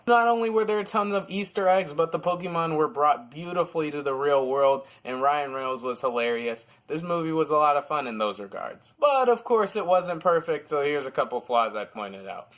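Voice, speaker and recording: A man's voice: on the high side, a bit bright. A man hosting a casual, partly scripted movie review, talking informally and with plenty of opinion and slang.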